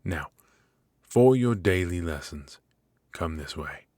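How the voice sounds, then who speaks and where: A man's voice: low-pitched, animated, takes it slowly, a little mellow, dry recording. A man voicing a cold, strict royal professor of magic, stern and clipped, with dry, condescending remarks in an ASMR roleplay.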